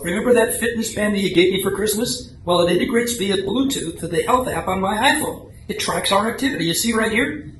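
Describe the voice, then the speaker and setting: A man's voice: high voice, a little fast, a bit bright. A roomy, noisy recording of a man acting as a defensive radio-comedy husband. He is earnest and fussy, stammering and doubling his words as he pleads his case. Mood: eager, pleased. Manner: stammering, pleading, repeats himself. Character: earnest, defensive, health-obsessed hypochondriac husband. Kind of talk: comic radio-play acting. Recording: roomy, echoey live recording, clear background noise.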